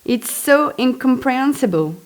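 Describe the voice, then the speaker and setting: A woman from Belgium, a native French speaker with a Belgian French accent, reads her own memoir aloud in a chatty, expressive way with spontaneous asides. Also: high voice, normal speed. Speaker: author reading own book.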